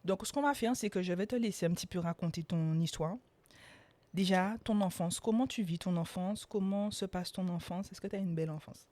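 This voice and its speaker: A woman hosting a podcast interview, chatty and lively, asking friendly questions. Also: lowish voice, fairly quick, dry recording. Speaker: podcast host.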